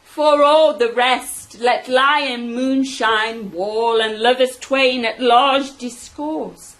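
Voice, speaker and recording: A woman playing an earnest, ponderous prologue speaker in an amateur Shakespeare radio play, reciting verse haltingly and emphatically. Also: fairly high pitch, a bit bright. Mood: ponderous tragic recital.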